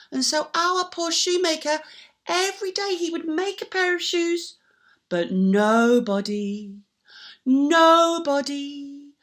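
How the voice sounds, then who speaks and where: A woman telling a children's story in a theatrical, interactive way, with playful repeated sound words and drawn-out emphasis. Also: very high voice, a bit bright. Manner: invites listeners to join in.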